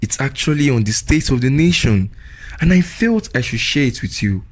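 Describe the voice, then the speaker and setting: A man's voice: medium pitch, animated, moderate pace, natural voice. A Nigerian man playing an earnest, fatherly pastor in a radio drama, with a solemn, formal preaching manner. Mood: earnest, kindly. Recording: music behind the voice.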